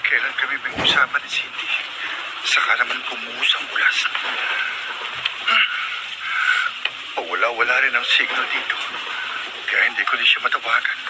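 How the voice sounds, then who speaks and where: A man's voice: mid-range voice, a little fast, heavy background noise, close to an everyday voice. A man playing an anxious, earnest young deliveryman in love in a radio drama. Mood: anxious, straining. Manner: worried, earnest.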